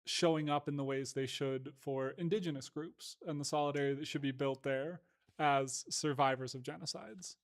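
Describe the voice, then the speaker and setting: A man in a casual conversation, reflective and measured, hedging often with "like". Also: on the high side, fairly even intonation.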